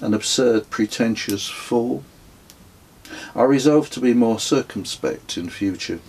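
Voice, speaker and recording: A middle-aged man reading his own travel memoir as an audiobook, in a measured, dryly wry manner. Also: mid-range voice, a little mellow, rough recording. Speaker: author reading own book.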